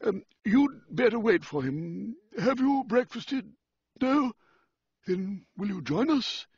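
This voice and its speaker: A man's voice: high-pitched, expressive, takes it slowly, a little mellow, slightly rough, no echo, lo-fi sound, voice pushed up, exaggerated voice. A man voicing a fussy, flustered old yacht owner who stammers over his words. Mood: fussing.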